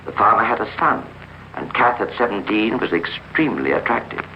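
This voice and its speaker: A man narrating a 1950s radio drama in a measured, formal storytelling manner. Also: fairly low pitch, sing-song. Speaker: narrator and host. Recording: muffled, hissy old radio recording.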